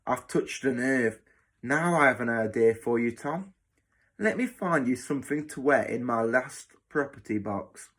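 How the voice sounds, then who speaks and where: A man's voice: medium pitch, animated, moderate pace, fairly bright tone. A man voicing a cruel, bossy hospital matron in a children's story, snapping and mocking with sarcasm. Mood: mockingly sweet.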